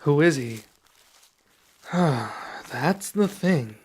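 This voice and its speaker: A man playing a soft-spoken young dragon adventurer. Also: fairly high pitch, animated, breathy edge, full character voice. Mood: explaining. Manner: soft-spoken, measured, with pauses. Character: young male dragon adventurer, serious and guarded. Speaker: ASMR roleplay performer. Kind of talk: ASMR roleplay.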